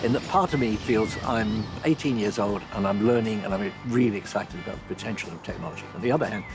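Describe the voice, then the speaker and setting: A man's voice: fairly low pitch, fast talker, over music. A man, speaking earnestly and eloquently as the guide of a documentary series. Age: 70s. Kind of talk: reflective documentary interview.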